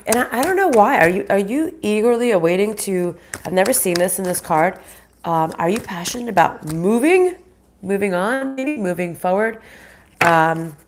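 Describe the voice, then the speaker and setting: A woman's voice: lowish voice, slight background noise. Nasal, stuffy-voiced woman reading cards. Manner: chatty, stops and restarts, greets viewers by name. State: sick with a sinus infection. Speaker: spiritual reader and channel host. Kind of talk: live-streamed oracle card reading.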